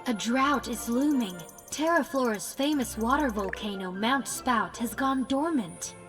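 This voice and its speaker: A woman reading game dialogue aloud in a measured, clear way. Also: on the high side, animated, long reverb tail, distant mic, music in the background.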